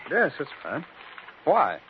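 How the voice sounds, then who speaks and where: An actor playing an unruffled, evasive crime suspect with a husky voice and coolly polite manner in a 1950s radio drama. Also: male voice, a little high, wildly swooping pitch, slow pace, a little hiss or hum, exaggerated voice. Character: unruffled, evasive male gambling-syndicate suspect. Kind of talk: 1950s radio villain acting. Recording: thin, phone-like 1950s radio recording.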